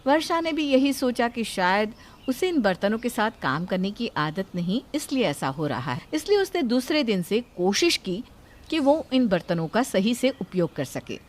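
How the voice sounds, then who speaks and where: A woman's voice: mid-range voice, very animated, normal speed. A woman narrator reading a scripted moral story.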